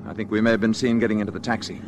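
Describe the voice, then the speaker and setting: An actor in an old radio drama playing a composed alien visitor in human form, solemn and measured. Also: male voice, fairly low pitch, level tone, background noise, close to an everyday voice. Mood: guarded, worried.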